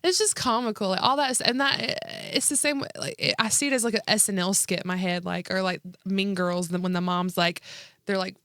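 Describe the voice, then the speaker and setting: A woman's voice: mid-range voice, steady tone, a little fast, fairly bright tone, no room sound. A woman in her thirties co-hosting a podcast in a casual comedic chat, speaking candidly with lots of slang and frequent filler "like". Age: 30s. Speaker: podcast co-host.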